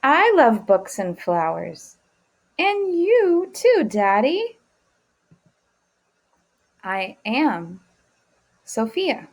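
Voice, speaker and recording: A woman's voice: on the high side, very animated, very slow, pauses often, heavily acted. A woman reading the part of an earnest, plaintive young girl in a storybook. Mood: proud, affectionate.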